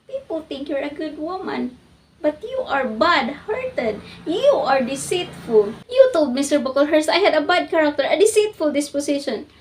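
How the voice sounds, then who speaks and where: A woman performing a dramatic literary monologue as a wounded, defiant orphan girl, with emphatic, declamatory, drawn-out phrasing. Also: high voice, voice pushed up. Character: wounded, defiant orphan girl confronting her cruel aunt. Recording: distant microphone, audible background noise.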